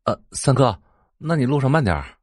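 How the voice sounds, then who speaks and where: A man's voice: mid-range voice, very animated, brisk, darkish tone, no room sound, clean studio sound. An actor playing an eager, gossipy young rich man, a loyal sidekick, in a Chinese audio drama. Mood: earnest.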